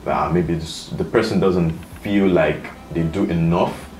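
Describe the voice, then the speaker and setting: A man's voice: deep voice, unhurried, darkish tone, faint music behind. A young man hosting a casual discussion, thoughtful and questioning, filling in with lots of murmured agreement. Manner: thoughtful, asks questions, lots of "mm-hmm" backchannel. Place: living room with bookshelf, indoors. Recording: roomy, distant, faint room hiss.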